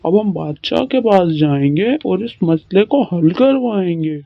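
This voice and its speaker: Man playing a slow-talking cartoon village merchant. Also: high voice, lively intonation, creaky, dry recording, full character voice. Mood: addressing villagers. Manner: polite, measured. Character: village merchant, sociable male community spokesman. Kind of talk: Urdu moral cartoon story dialogue. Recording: dull lo-fi sound, music bed.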